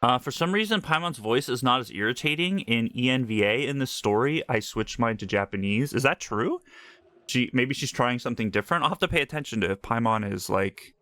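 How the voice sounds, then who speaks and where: A woman's voice: a bass voice, lively intonation, brisk, fairly bright tone, no room sound. A woman streamer giving casual, wry, slangy gameplay commentary. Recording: faint game music behind.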